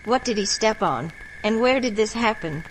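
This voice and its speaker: A woman's voice: medium pitch, lively intonation, moderate pace, natural voice, rough recording. Actress as kindly folk healer woman, motherly, knowing, mid-range voice, horror radio play, faint ambient noise. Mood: concerned. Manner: motherly, folksy and commanding. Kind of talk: audio horror drama acting.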